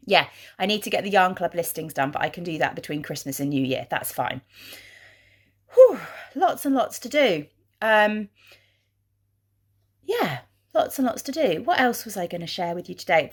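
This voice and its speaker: A woman vlogging in a chatty, rambling way, her voice husky from congestion. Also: mid-range voice, very animated, moderate pace, pauses often. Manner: rambling, chatty, with many ums. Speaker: craft vlogger. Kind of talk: casual vlog chat. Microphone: handheld camera.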